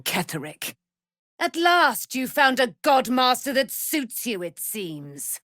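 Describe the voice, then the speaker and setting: A woman's voice: fairly high pitch, fairly bright tone, no echo, clean studio sound, full character voice. A woman playing a proud immortal paladin, a moon goddess's warrior daughter, in a fantasy video game, speaking in grand, archaic, theatrical declamation. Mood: scornful.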